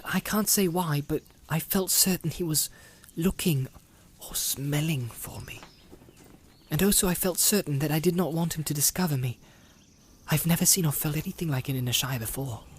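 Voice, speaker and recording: A man voicing an anxious, well-spoken young male hobbit in an audiobook, measured and uneasy in manner. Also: fairly high pitch, a little hiss or hum, pitched above the performer's own voice, close to an everyday voice. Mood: uneasy, wondering.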